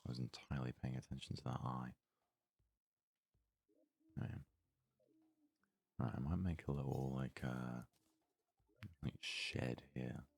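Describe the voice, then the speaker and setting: A man giving soft-spoken ASMR game commentary, murmuring his thoughts aloud in a breathy voice that often slips into a whisper. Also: rumbling low, little pitch movement, no echo. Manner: murmured, unhurried thinking aloud. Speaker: let's play host.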